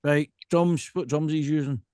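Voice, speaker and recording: A Scottish man with a fairly high-set voice hosts a casual podcast chat, recorded dry and close. He rambles emphatically through his questions and keeps checking that he is being followed. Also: steady tone, normal speed, a little mellow, clear, resonant tone, no echo. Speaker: podcast host.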